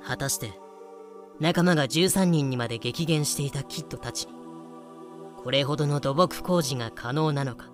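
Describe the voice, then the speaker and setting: A woman's voice: extremely low pitch, normal speed, music in the background. A woman voicing a frank, knowing lady who explains things drily in an amateur voice drama. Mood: sceptical.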